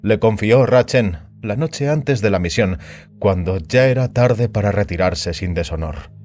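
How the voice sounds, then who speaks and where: A male narrator with a fairly low voice reads audio fiction in a scripted, dramatic style. Soft music sits behind the narration. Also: a little slow.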